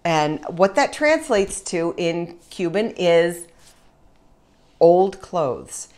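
Slow-paced woman giving a cooking demonstration. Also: lowish voice, lively intonation, a little mellow. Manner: chatty, step-by-step instructing with small asides. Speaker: home cooking show host. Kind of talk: informal cooking demonstration to camera. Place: home kitchen, indoors. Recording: kitchen noise, faint sizzling and clatter.

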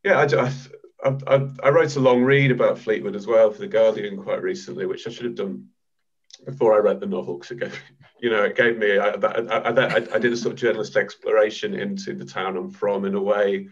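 A man in his thirties from the north of England talks on a video-call panel. He is hesitant and keeps repeating words and restarting. His voice is low and husky, and the call sound is dull and lo-fi. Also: steady tone, fairly quick. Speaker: panel guest author. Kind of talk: casual panel discussion. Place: home room, video call.

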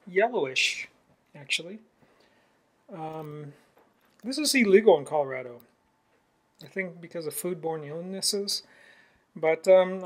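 A man in his forties vlogging casually into a phone held at arm's length, musing aloud and trailing off with fillers. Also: fairly high pitch. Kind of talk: casual travel vlog. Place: outdoors in snowy town, then in a truck, then indoors at a table. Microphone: handheld phone at arm's length.

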